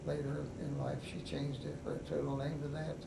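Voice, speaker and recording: An older man with a West Virginian accent, telling his life story in an oral history interview in an unhurried, halting way with many pauses. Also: on the high side, level tone, creaky. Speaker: veteran interviewee. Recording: echoey room, mic at a distance, noisy.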